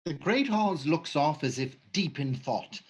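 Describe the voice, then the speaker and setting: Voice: mid-range voice, animated, unhurried. Plain stage-direction reader.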